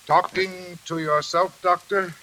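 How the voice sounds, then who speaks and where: A man's voice: high voice, steady tone, slow, pushed and bright, exaggerated voice, rough recording. An actor with an American accent playing a troubled, intense psychiatric patient confessing his past in a 1940s radio drama. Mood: uneasy, brooding. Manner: confessional, melodramatic.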